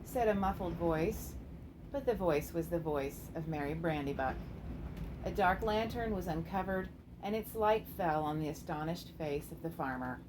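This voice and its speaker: Low-pitched woman narrator, noisy recording. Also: animated, moderate pace. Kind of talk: scripted storybook narration. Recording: clearly noisy amateur recording.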